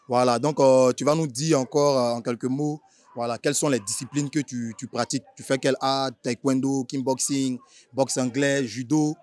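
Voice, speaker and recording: A man's voice: mid-range voice, fairly even intonation, moderate pace, a little mellow. A man with an Ivorian accent hosting an informal interview, chatty, using street slang and often rephrasing his questions. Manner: chatty, repeats filler tags and rephrases questions.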